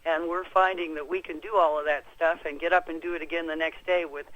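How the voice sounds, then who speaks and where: A woman's voice: deep voice, steady tone, quick pace, phone-quality sound. An American woman answering as an expert guest on a conference call, explaining things in a folksy, conversational way. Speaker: expert guest, clinic practitioner.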